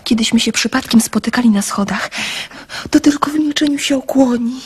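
A woman's voice: a little high, brisk, breathy edge. A woman playing a young lovesick girl in a radio drama, anxious and wistful, confiding her story with emotion.

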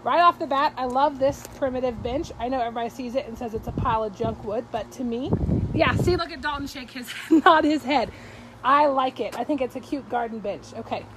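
A woman's voice: sits high, brisk, noisy background. A young adult woman, hosting a casual, upbeat, teasing livestream walkthrough of auction items in a storage shed. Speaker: auction company host.

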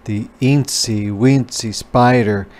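A man reading a children's storybook aloud as a teacher, pausing to explain words. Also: medium pitch, fairly even intonation, darkish tone.

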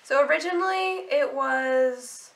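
A woman's voice: high-pitched, a little slow, a bit bright, echoey room, a little hiss or hum. A teenage girl with a sore throat and stuffy nose chatting casually to camera about clothes, bubbly and full of likes and restarts. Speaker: vlogger. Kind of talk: casual haul video chat.

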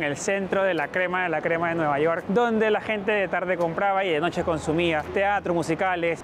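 Peruvian man vlogging on city streets. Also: sits high. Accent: Peruvian Spanish. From Peru. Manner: chatty, asks rhetorical questions. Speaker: travel vlogger. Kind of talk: walking city tour vlog. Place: outdoors on busy city streets. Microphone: lapel mic on hoodie.

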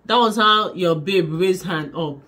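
A young woman with a Nigerian accent, telling a story to camera in a small bedroom in an animated, dramatic way. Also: lowish voice, unhurried. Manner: animated, dramatic, laughing, mixing in pidgin. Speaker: vlogger. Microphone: camera in front at desk distance.

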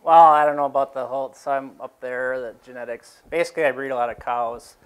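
A man's voice: mid-range voice, fairly even intonation, fast, smooth. A dairy farmer in his fifties giving a casual talk to a seated audience in a large exhibition hall, rambling with fillers and restarts.

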